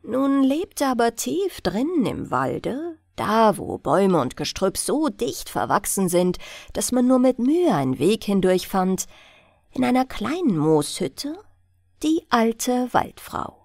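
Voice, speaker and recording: A woman narrates a bedtime fairy tale as an audiobook reader. Her voice sits on the high side and moves with lively, varied intonation. She takes her time, telling the story expressively. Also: takes it slowly, no room sound, clean studio sound.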